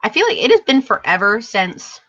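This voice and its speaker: Dull lo-fi stream, woman, bright tense tone, tired fading voice, casual book chat, hesitant, self-correcting. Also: on the high side, big pitch swings, moderate pace. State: losing her voice, tired. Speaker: book vlogger co-hosting a live show.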